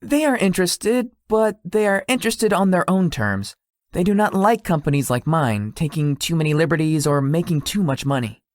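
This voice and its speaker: A man playing a lazy, sweet-toothed young tech company founder in a visual novel, speaking in an awkward, low-key, hesitant way. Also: moderate pace, no echo, clean studio sound, natural voice. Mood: matter-of-fact. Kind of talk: visual novel character dialogue.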